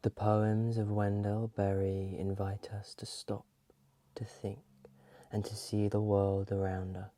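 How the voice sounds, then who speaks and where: A soft, breathy ASMR host reading in a hushed voice that often slips into a whisper. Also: sits low, no echo.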